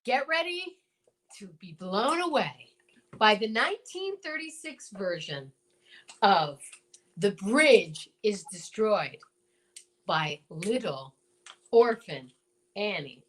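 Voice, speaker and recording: A woman's voice: medium pitch, very animated, slow, pauses often, rough recording. A woman hosting and directing an amateur radio show, casually coaching and stretching key words theatrically.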